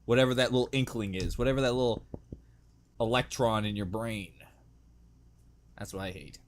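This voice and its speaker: A man's voice: on the low side, moderate pace, a little hiss or hum. A man hosting a reaction video with casual, joking, exaggerated and profane commentary.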